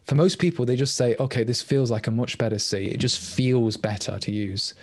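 A man, a programming language designer, answers questions on a video call in a quick, rambling way with restarts. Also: medium pitch, darkish tone, no echo. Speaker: language designer presenting at a meetup. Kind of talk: casual Q&A answers. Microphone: webcam on a video call.

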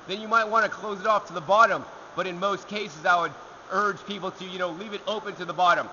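Young man, gardening host, energetic, answering questions, outdoor rock alcove, faint hiss. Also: high voice, quick pace, lo-fi sound. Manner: energetic, talks with his hands. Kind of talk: answering viewer questions to camera.

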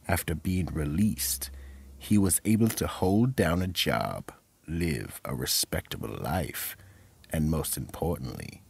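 An extremely deep male narrator with a heavy fry in the voice hosts a radio mystery theatre. He is slow and deliberate, delivering the script with ominous drama. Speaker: narrator and horror anthology host.

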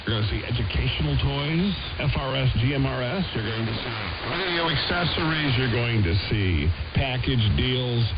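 An American man reading a conversational radio ad in a folksy, emphatic, repetitive sales pitch over shortwave radio. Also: expressive, moderate pace, dull audio. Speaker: radio advertiser pitching a ham radio store. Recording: band-limited shortwave radio, music bleeding in. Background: music.

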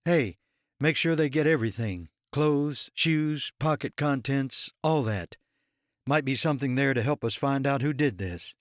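A man voicing a gruff, weary male deputy, terse, blunt and muttering, in an audiobook. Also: medium pitch, a little slow, no echo, clean studio sound, natural voice. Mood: commanding. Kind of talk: audiobook character dialogue.

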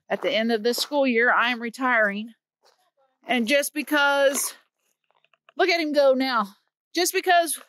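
A woman's voice: high-pitched, a bit bright, dry recording. A woman in her fifties from Missouri, chatting earnestly to her phone camera as she walks through winter woods. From Missouri, USA. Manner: chatty, earnest storytelling while hiking. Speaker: vlog host. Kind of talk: casual walking vlog. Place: outdoors in winter woods by a creek. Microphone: phone held at arm's length.

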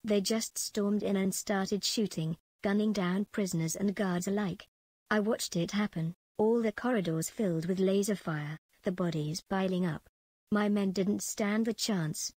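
A woman's voice: medium pitch, unhurried, darkish tone, no echo, close to an everyday voice. Cold female prison commandant, acted by a woman. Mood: shaken, urgent. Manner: clipped, menacing, official log dictation. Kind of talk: video game audio log acting.

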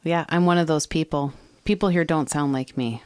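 A woman in her thirties with a Minnesota accent hosts a relaxed podcast chat. Her voice sits fairly low, and the recording is close and dry. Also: normal speed, no echo. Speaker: podcast co-host. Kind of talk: casual chat. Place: small home studio, indoors. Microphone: headphones and boom mic.

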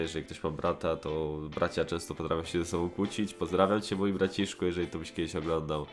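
A man's voice: low-pitched, fairly bright tone. A man giving a casual, rambling review, hesitant and slangy, often correcting himself. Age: 20s. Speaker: host of a nostalgic cartoon review show. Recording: music playing behind the voice.

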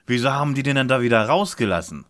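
A man playing a bossy, measured young lead detective in a parody detective audio drama. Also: medium pitch, animated, a bit bright, natural voice. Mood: impatient.

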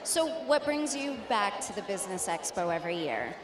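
A woman's voice: mid-range voice, very animated, fairly quick. A young woman interviewing guests at an indoor expo with a handheld mic, asking brief upbeat questions. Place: indoor expo hall before a backdrop. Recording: echoey hall with crowd noise.

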